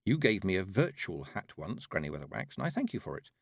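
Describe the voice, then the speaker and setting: A man voicing a calm, self-possessed young witch girl in an audiobook, quiet and measured. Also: low-pitched, creaky, dry recording, studio quality, full character voice. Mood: quietly resolute.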